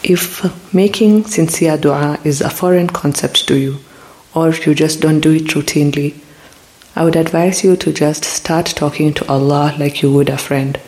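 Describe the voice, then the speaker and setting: A podcast host giving a calm, reflective spiritual talk into a close mic. They ask the listener many probing questions and grow looser and more hesitant when retelling stories. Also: medium pitch, moderate pace, rough recording.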